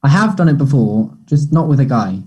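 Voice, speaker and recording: A conflicted young man in a stage drama played by a man reading the script, husky and mellow. He sounds guarded and guilty, defensive under pressure. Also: medium pitch, animated, a little fast. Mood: uneasy, ashamed. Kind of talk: play table read.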